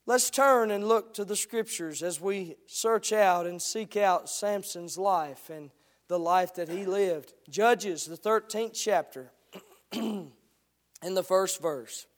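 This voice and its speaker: A man preaching a sermon as a guest preacher, slightly hoarse, starting with halting remarks and building into a rising preaching cadence while reading scripture. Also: sits high.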